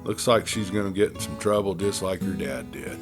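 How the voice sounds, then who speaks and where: Folksy male storyteller over guitar music. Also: low-pitched. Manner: folksy, unhurried asides to listeners. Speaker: storyteller, host. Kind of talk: folksy campfire audiobook narration.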